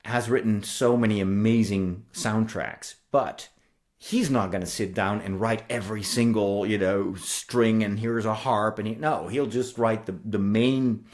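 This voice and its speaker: A man's voice: lowish voice, fairly even intonation, measured pace, darkish tone. A man co-hosting an enthusiastic podcast discussion about books, explaining things in an effusive way. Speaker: co-host and writer.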